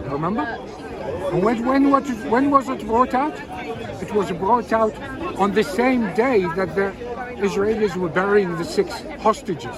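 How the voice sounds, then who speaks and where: High-voiced, insistent man in a loud crowd. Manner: emphatic, insistent, repeats phrases. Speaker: protest attendee being interviewed. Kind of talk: impassioned street interview opinion. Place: outdoors at a busy city street protest. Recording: loud crowd noise outdoors. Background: loud protest crowd.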